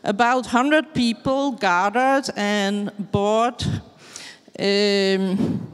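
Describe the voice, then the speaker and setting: A woman from the Netherlands with a Dutch accent, giving a slow, hesitant presentation in English with many fillers. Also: mid-range voice, fairly even intonation, smooth. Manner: hesitant, searching for words in English. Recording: long hall reverb.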